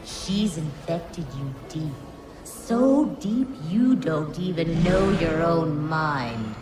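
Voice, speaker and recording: An actress voicing a menacing, seductive corrupted villainess in a video game, with a slow, taunting and ominous delivery. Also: female voice, deep voice, animated, exaggerated voice. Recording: echoing reverb under loud game music.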